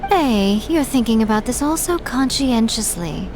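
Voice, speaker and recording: A woman's voice: fairly high pitch, expressive, unhurried, poor audio. A woman voicing a playful, sly female shrine priestess in a game, talking in a light, teasing way over game music. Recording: game audio with loud music.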